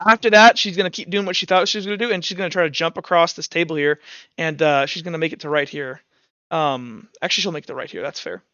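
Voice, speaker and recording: A man's voice: on the high side, fast talker, pushed and bright, smooth, no room sound, highs cut off. A young man running a tabletop roleplaying game as game master, talking informally and thinking aloud as he narrates.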